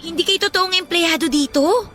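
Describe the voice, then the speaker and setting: A woman's voice: very high-pitched, moderate pace, bright, forceful tone, faint music behind. A woman playing a worried, polite young schoolgirl who keeps questioning her father in a radio drama. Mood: insistent, puzzled. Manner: respectful, insistent questioning. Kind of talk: radio drama acting in Tagalog.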